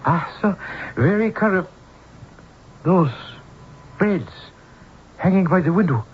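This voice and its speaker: High-voiced, courteous Japanese businessman. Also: animated. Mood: grave, polite. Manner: formal, halting, very polite. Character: courteous, grave Japanese businessman, a mannered foreign visitor. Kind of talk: old-time radio drama acting. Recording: tinny, noisy old radio broadcast.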